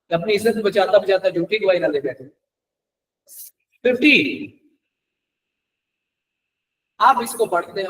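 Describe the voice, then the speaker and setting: A man's voice: high-pitched, distant mic, rough recording. A Pakistani man giving a classroom law lecture in a conversational way, mixing in English terms and checking points with rhetorical questions.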